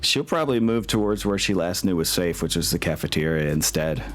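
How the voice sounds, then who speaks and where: Deep-voiced man running a tabletop game. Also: expressive, fairly quick, a little hiss or hum. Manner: informal, thinks aloud. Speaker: game master.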